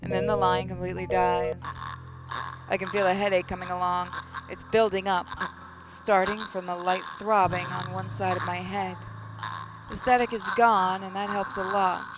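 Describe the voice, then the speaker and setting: A woman's voice: on the low side, little pitch movement, normal speed, noisy background. A woman reading scripted first-person visual novel narration in a wry, theatrical storytelling manner.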